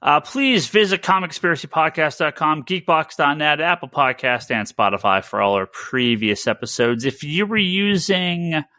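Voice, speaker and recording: A man hosting a podcast, chatting informally and rambling through shout-outs and plugs. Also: a little high, lively intonation, normal speed, hardly any pauses, no echo, studio quality. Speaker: podcast host.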